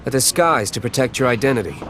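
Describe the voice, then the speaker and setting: A man's voice: mid-range voice, expressive, quick pace, noisy background, natural voice. A man playing a brooding, aloof young nobleman vigilante in an anime-style video game, curt and reserved. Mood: guarded.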